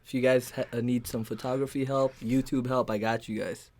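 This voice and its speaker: A man's voice: medium pitch, flat delivery, a little fast, darkish tone. A young man in his twenties, a guest on a casual livestream, playful and joking. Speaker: guest co-host.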